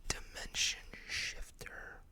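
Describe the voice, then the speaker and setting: ASMR host, whispered voice, bright tone, unhurried read-aloud delivery, small casual asides. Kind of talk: whispered ASMR card showcase.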